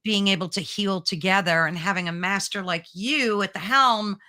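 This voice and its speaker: A woman hosting an interview, effusive and quick to agree and praise. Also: medium pitch, bright, forceful tone, no echo, clean studio sound. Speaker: host. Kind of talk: interview chat.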